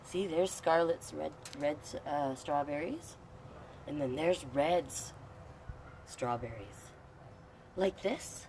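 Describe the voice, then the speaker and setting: Low-voiced woman hosting children's storytime. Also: slow, long pauses, a little mellow. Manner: explains pictures and prompts young viewers. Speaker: online storytime host and reader. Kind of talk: children's storytime, reading aloud with chatty asides. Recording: clear background noise.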